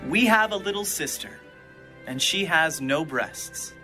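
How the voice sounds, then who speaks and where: A man's voice: a little high, lively intonation, unhurried, bright, forceful tone, soft background music, full character voice. A man playing a watchful brother, declaiming formally and poetically in a dramatised Bible reading. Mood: solemn. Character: watchful brother speaking in ancient love poetry.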